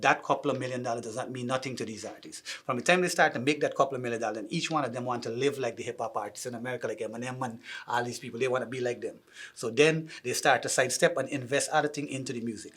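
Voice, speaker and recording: Man, Guyanese accent, husky, outspoken, interview answers. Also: medium pitch, fairly bright tone. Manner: outspoken, emphatic about his home music scene. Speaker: musician and label owner.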